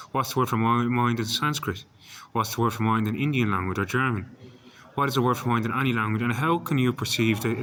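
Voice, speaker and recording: Irish guy vlogging, husky hoarse voice, low and pretty monotone, keeps tripping over words. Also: slight background noise. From Ireland. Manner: stumbling, repeats words while searching for them. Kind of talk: rambling monologue to camera.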